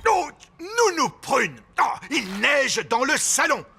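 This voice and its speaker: A man's voice: extremely high pitch, sing-song, takes it slowly, gravelly, sharp tone, slight background noise, full character voice. A man voicing a stern, exasperated fairy father who scolds with authority in a French children's cartoon dub. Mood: dismayed.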